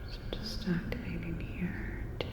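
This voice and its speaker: A woman playing a calm, attentive part-cyborg robot repair technician in an ASMR roleplay, whispering breathily in a soft, reassuring, clinical way. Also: normal speed, close to an everyday voice. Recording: dull, echoey, long reverb.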